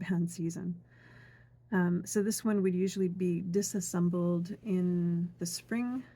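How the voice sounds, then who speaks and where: A middle-aged woman gently explaining a traditional folk craft step by step, unhurried, with many fillers and asides. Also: fairly low pitch, slightly rough. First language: English. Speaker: craft teacher. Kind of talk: calm step-by-step craft demonstration.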